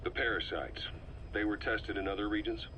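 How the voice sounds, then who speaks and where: Man playing a deep-voiced stern military interrogator. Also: moderate pace, highs cut off, heavy background noise, natural voice. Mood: probing, stern. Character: stern, probing military intelligence officer. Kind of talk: dramatic video game dialogue.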